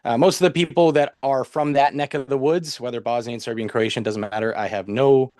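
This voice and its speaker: A man's voice: medium pitch, steady tone, fairly quick, a little mellow, no room sound, clean studio sound. A man from Canada, a podcast guest, chatting casually with lots of fillers and restarts. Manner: rambling, many fillers and restarts. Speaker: podcast guest, nutrition professional. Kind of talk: casual interview chat.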